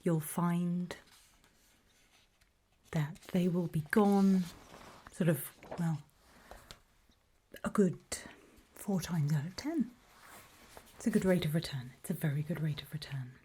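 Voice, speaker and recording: A woman playing a calm, faintly sinister guide in a creepy ASMR roleplay, whispering slowly and deliberately with a breathy voice. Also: on the low side, a little hiss or hum. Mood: calmly instructive. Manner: slow, deliberate, coolly instructive, softly ominous. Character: calm, faintly sinister female guide briefing people on alien dangers.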